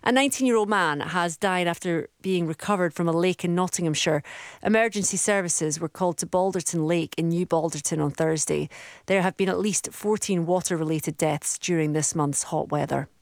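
A woman presenting a radio show, bubbly and quick to laugh, chatting with callers and reading news. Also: fairly low pitch, dry recording, studio quality. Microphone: studio microphone.

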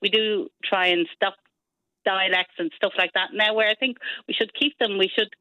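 A middle-aged woman with a soft Dublin Irish accent, chatting as a radio guest and explaining with examples. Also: mid-range voice, fairly even intonation, moderate pace, no echo, low-quality recording. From Dublin, Ireland. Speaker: studio guest. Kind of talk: casual radio chat.

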